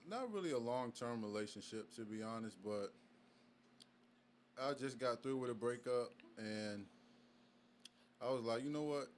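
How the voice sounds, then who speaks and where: Laid-back young man, halting speech. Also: mid-range voice, slight background noise. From Virginia. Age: young adult. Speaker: guest artist. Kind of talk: casual interview.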